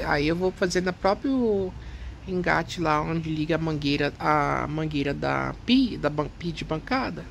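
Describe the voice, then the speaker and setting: A middle-aged Brazilian man with a high, creaky voice, chatting casually and expressively in a home vlog. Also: a little hiss or hum. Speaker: home vlogger.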